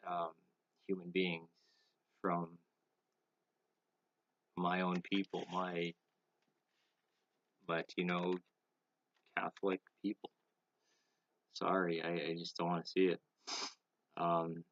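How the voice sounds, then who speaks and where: Guy smoking and rambling slow to his camera, deep monotone, dry dull lo-fi sound. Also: no echo. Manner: halting, thinking aloud with many pauses; smoking while talking. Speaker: vlogger. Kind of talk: casual opinion monologue to camera.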